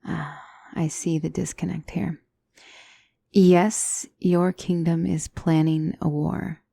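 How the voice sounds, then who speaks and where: A woman playing a gentle demonic mentor in an ASMR fantasy roleplay, speaking softly with formal, measured, reassuring diction and often near a whisper. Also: on the low side, little pitch movement, gravelly, exaggerated voice. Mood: grave, matter-of-fact. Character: gentle demonic tutor, female mentor to a young royal.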